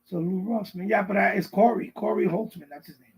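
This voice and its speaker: A middle-aged man with a husky voice chats casually on a live stream, rambling and repeating himself as he answers viewers. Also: high voice, steady tone, brisk. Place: basement at home.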